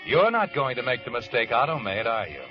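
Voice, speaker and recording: An actor playing a sly, all-knowing narrator who needles the listener in a 1940s radio suspense drama. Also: male voice, mid-range voice, big pitch swings, a little fast, close to an everyday voice. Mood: sly, taunting. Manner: insinuating, with needling tag questions. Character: sardonic, all-knowing male narrator taunting the protagonist. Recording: muffled vintage radio recording. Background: dramatic background music.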